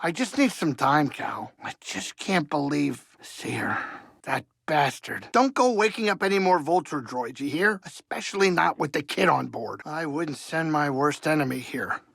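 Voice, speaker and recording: A gravelly, middle-aged alien starship pilot from a video game. He grumbles and frets in a chatty, wry way, with a kindly streak under the nerves. The sound is dry and close, with plenty of creak in the voice. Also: a little high, animated, a little slow, a bit bright, no room sound, heavily acted. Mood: subdued, hurt. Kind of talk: video game character acting.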